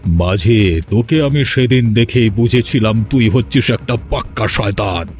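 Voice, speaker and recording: A man's voice: on the low side, measured pace, darkish tone, lightly acted. A man voicing a commanding village master in a Bengali-style cartoon drama, a grieving father bent on revenge, speaking melodramatically. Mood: stern, threatening.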